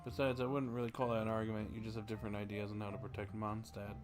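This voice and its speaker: Calm young traveler, voiced by a man. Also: fairly low pitch, fast talker, music in the background, natural voice. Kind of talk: game dialogue.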